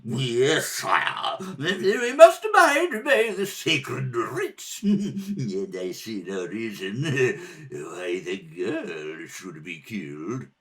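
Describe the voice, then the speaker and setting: A man playing a sly, fawning high priest in a radio serial, oily and ceremonious. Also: big pitch swings, extremely slow, fairly bright tone, heavily acted.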